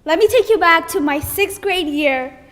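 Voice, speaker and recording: A woman's voice: very high voice, normal speed, a bit bright. A young woman from Ethiopia telling a personal story on stage at a live story slam, animatedly acting out her own panicked thoughts. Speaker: student storyteller. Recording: live stage with long hall reverb.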